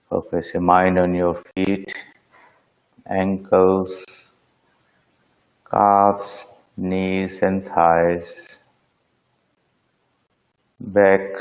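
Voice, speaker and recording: A man teaches guided meditation in a low voice at a very unhurried pace. He gives each instruction phrase by phrase, calm and solemn. His tone stays level and steady throughout. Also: vocal fry, low-quality recording. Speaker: meditation teacher leading a session.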